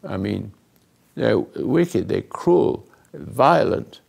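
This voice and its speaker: An older man hosting a talk, giving rambling, earnest moral teaching to a live group, often dropping into hushed asides. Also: on the low side, big pitch swings, drawn-out words. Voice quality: gravelly, husky. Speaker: host and spiritual counselor.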